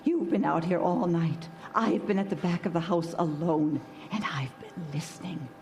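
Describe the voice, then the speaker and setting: An actress playing a frightened, long-suffering middle-aged wife in old-time radio horror melodrama, emotional and pleading. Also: female voice, lowish voice, moderate pace, a little mellow, lightly acted. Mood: tense, earnest. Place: live stage before an audience. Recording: noisy live stage recording.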